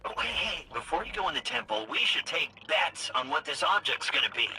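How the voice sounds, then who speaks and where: An actor voicing an excitable, jittery, chatty sidekick in a video game, animated and nervous with a stammering, joking delivery. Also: male voice, fairly high pitch, a little fast, noisy background. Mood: playful. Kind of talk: video game character dialogue. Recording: loud music behind the voice.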